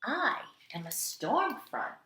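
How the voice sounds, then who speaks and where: Bright-voiced woman teacher, slow and echoey. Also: on the high side, sing-song. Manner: dramatic, deliberate delivery with strong swells and hushed endings. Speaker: kindergarten teacher. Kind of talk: expressive read-aloud of a picture book for young children. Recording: echoey, roomy sound.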